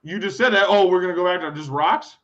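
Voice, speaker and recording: Man, high-pitched, profane podcast co-host who argues bluntly; lo-fi audio. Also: fast talker, a bit bright. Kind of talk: crude casual chat. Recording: slightly dull lo-fi sound.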